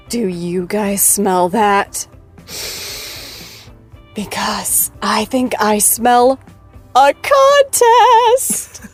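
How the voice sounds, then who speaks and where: A woman's voice: medium pitch, lively intonation, very slow, pauses often, faint music behind. An actress playing a bubbly young talk show host who teases her guest and her fans in a playful, theatrical way. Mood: upbeat teasing.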